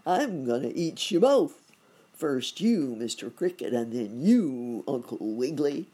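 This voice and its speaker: A woman's voice: rumbling low, very animated, measured pace, dark tone, slightly rough, full character voice. A woman voicing a nasty, hungry male alligator in a children's story, gloating and emphatic in threats. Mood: menacing, gloating.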